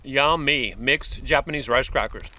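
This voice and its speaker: Bright-voiced man reviewing snacks. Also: mid-range voice, measured pace, slight background noise. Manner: chatty, eating while talking, lots of mm sounds and exclamations. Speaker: food vlogger. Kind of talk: casual food review to camera. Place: outdoors in a wooded park, seated at a bench.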